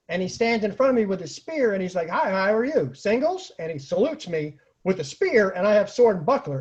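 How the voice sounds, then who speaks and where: A man with a husky voice tells comic personal anecdotes in a casual, animated way, acting out the lines people said. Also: sits high. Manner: animated, acts out quoted lines, laughs at own stories. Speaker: storyteller, online show host. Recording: dull lo-fi home recording.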